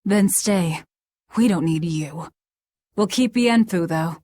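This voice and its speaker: Cold, low-voiced young woman avenger. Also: takes it slowly, a little mellow, no echo, studio quality. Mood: stern. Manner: curt, stern. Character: cold, blunt, hardened young female avenger. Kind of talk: video game cutscene voice acting.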